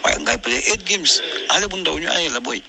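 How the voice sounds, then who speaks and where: A man's voice: fairly high pitch, animated, brisk, creaky, background noise. A man with a Gambian accent in a panel discussion, speaking emphatically and repeating words for stress.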